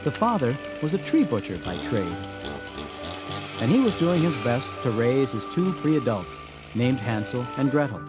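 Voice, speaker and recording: A man's voice: mid-range voice, sing-song, normal speed, over loud music. A man reading a satirical storybook as scripted audiobook narration. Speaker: author reading own audiobook.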